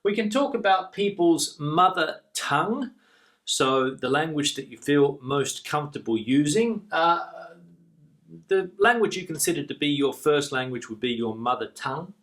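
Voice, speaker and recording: A man's voice: fairly high pitch, animated, normal speed, fairly bright tone. Australian man teaching an English lesson. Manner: clear explanatory teaching, repeats key words.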